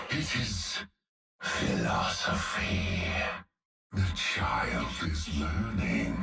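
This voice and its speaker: An actor playing a hulking, menacing male cyborg executioner, speaking in a slow, gravelly growl with heavy breaths. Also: rumbling low, a bit bright, full character voice. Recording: roomy, distant game audio with music.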